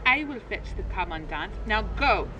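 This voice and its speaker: A woman's voice: mid-range voice, very animated, normal speed, muffled recording, loud music behind the voice, lightly acted. A woman playing a haughty, bullying military officer in a sci-fi comedy audio drama, imperious and barking, often ending phrases with "eh". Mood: barking orders.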